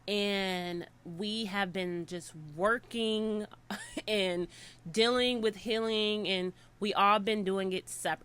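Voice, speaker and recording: Thirty-something woman podcast host, bright voice, chatty, gets hyped telling stories. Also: medium pitch, smooth. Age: 30s. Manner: animated storyteller, swings from soft musing to loud excitement. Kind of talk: casual podcast chat.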